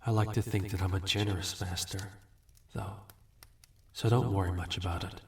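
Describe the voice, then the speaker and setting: A man playing a suave, amused demon in a fantasy audio roleplay, condescending and teasing with unhurried courtesy. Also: low-pitched, fairly even intonation. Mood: smug, businesslike. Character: suave, amused, bargaining male demon, ageless and smug. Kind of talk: scripted fantasy audio roleplay addressed to listener. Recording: roomy echo, distant from mic.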